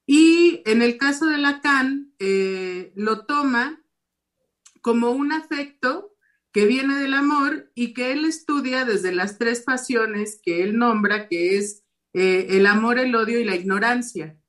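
A woman explaining an academic study in a steady manner, with frequent fillers. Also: fairly high pitch, slow pace.

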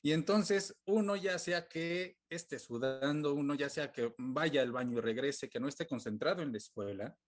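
A man's voice: fairly high pitch, moderate pace, few pauses. A man hosting a discussion panel, telling a story with frequent fillers.

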